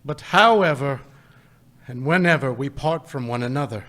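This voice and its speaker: An actor playing a gentle, poor father who speaks tenderly to his family about his lost little son in a live radio play. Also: mid-range voice, moderate pace, lightly acted. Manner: tender, soft, choked-up.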